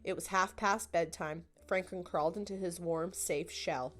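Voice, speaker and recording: A woman's voice: on the low side, fairly even intonation, normal speed, a bit bright, faint music behind. A woman narrating a children's picture book as a scripted storytime read-aloud.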